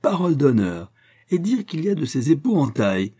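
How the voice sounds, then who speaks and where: A man's voice: expressive, moderate pace, dark tone. A man voicing a drunken, rowdy rich man who is insolent, mocking and overbearing in a storybook reading. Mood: mock fright. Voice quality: big muffled bass in the story, boisterous. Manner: insolent, bullying, familiar.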